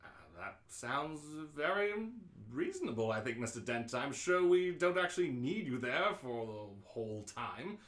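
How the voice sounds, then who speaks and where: A man's voice: mid-range voice, sing-song, normal speed, hardly any pauses, fairly bright tone. A man playing a flustered, polite, officious demolition foreman in an amateur radio play, hesitant and deferential. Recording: roomy, echoing live reading.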